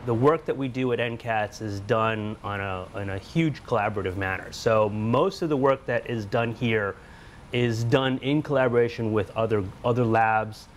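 A man's voice: fairly low pitch, normal speed, a little hiss or hum. A middle-aged man, a research scientist who grew up in the US, talking casually about his lab work with frequent fillers and restarts. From Taiwan, grew up in the US. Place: indoor laboratory.

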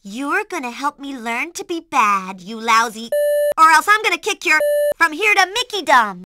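A woman voicing an earnest, naive, well-behaved young girl in an animated series, speaking expressively. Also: high voice, moderate pace, slight background noise, full character voice. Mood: defiant.

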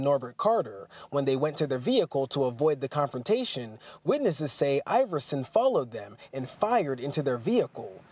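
Husky man reading a TV news report. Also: on the high side, a little mellow.

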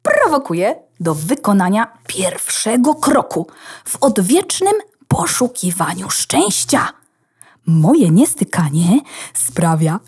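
A woman's voice: mid-range voice, a little mellow, husky, full character voice. A woman playing a cheeky, romantic, risk-loving loose computer contact in a children's audio drama, slangy and theatrical. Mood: teasing, dreamy.

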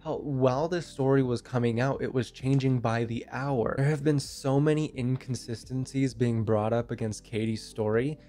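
A man's voice: mid-range voice, normal speed, hardly any pauses, warm tone, soft background music. Blunt young man, nasal with a cold. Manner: blunt, casual and opinionated. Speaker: commentary YouTuber. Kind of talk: drama commentary. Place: small bedroom indoors. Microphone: handheld microphone.

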